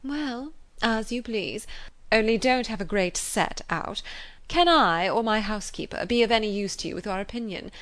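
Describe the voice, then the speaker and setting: A woman's voice: on the high side, a bit bright, pitched above the performer's own voice, lightly acted. A woman voicing a pompous, chattering married society lady who gushes and drags out her emphasis. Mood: bossy. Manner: gushing, self-important, drawn-out emphasis. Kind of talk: audiobook character reading.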